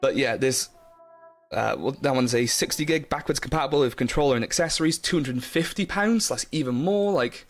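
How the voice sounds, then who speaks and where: A man's voice: medium pitch, fairly quick. Young guy rambling on stream, loads of ums and likes, faint music under him. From Wales. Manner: rambling, chatty, lots of fillers and restarts, laughs often. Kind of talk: casual live stream chat.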